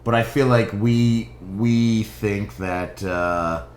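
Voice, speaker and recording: A man's voice: on the low side, fairly even intonation, slow pace, a crowd in the background. An American man from Connecticut chatting casually in a tentative, hedging manner. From Connecticut, United States. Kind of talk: casual chat.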